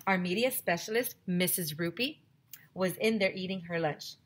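A woman in her thirties, a teacher on a headset mic, reading a chapter book aloud to children with lively, expressive storytelling. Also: fairly low pitch, normal speed. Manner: expressive storytelling, addresses kids directly. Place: small home room with classroom decorations.

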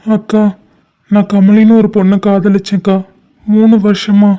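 A man's voice: sits high, completely flat delivery, measured pace, dark tone, clean tone. A young man playing a heartbroken lover, speaking with a Tamil accent, pleading and crying. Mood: tearful. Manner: pleading, tearful and despairing. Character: lovesick young man, grieving and desperate. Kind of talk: short-film drama acting.

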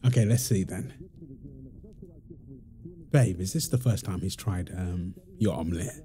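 A man's voice: low voice, a little slow, mellow, slight background noise. A man playing a playful, doting young father in an ASMR roleplay, teasing and affectionate with his family. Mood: gentle, coaxing. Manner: teasing, affectionate, laughing easily. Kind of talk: ASMR roleplay, improvised casual talk.

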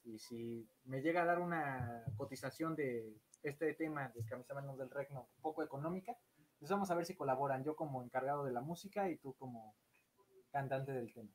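A man's voice: medium pitch, a little hiss or hum, low-quality recording. A man hosting a casual livestream interview, chatty and joking in his manner. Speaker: interview host.

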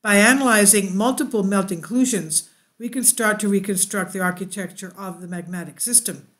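A woman's voice: medium pitch, normal speed, a bit bright. Woman reading science news, hesitant asides. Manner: hesitant asides with fillers and self-corrections. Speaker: YouTube news host.

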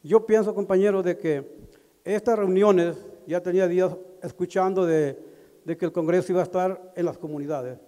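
A middle-aged man speaks into a handheld microphone in a crowded hall. His voice sits high yet sounds dark and mellow, and he talks haltingly with pauses and fillers. A long reverb trails each phrase. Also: steady tone. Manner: halting, with pauses and fillers, switching between languages. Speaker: community member at a consultation. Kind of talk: public address. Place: large indoor community hall. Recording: echoey hall over a PA.

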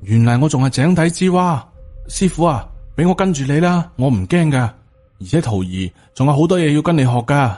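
A man's voice: on the high side, expressive, normal speed, darkish tone, faint music behind. A man with a Hong Kong Cantonese accent voicing a naive, stubbornly loyal young disciple in an audio storybook, earnest and pleading.

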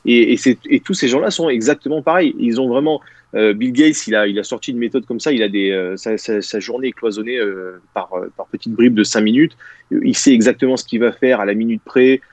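A man's voice: mid-range voice, fairly quick, darkish tone, no echo. A man in his thirties talking as a guest on a video call from a small room, rambling with many fillers and restarts. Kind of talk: casual video-call discussion. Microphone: video call, webcam.

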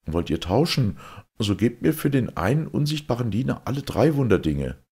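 A man's voice: medium pitch, very animated, moderate pace, a little mellow, slightly rough, voice pushed up. A man voicing a shrewd, plain-spoken young soldier in a fairy tale, bold and firm.

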